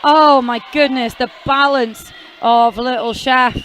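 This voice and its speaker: A woman's voice: high-pitched, fairly even intonation, slow pace, fairly bright tone, a little hiss or hum. A woman commentating roller derby live, chatty and jokey, with rambling asides. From half Welsh, never lived in Wales. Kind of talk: casual live sports commentary.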